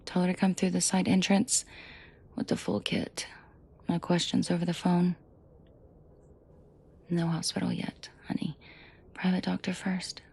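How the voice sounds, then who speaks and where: A woman playing a protective mafia boss in an intimate audio drama, soft and breathy with frequent whispering, commanding and dryly teasing. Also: fairly low pitch, completely flat delivery, measured pace, long pauses, exaggerated voice. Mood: cold, commanding. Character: protective female mafia boss, menacing to henchmen, tender to victim.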